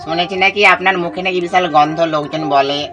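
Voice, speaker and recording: A prank caller voicing a flirtatious, teasing hijra auntie, coaxing with drawn-out questions. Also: medium pitch, steady tone, lo-fi sound, natural voice. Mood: flirty teasing. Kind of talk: prank phone call. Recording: background music under the voice. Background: music.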